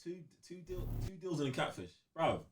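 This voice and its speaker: A man's voice: fairly high pitch, big pitch swings, moderate pace, far from the mic, a little hiss or hum. A man chatting casually on a gaming podcast, using plenty of slang and repeating himself. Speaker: podcast co-host.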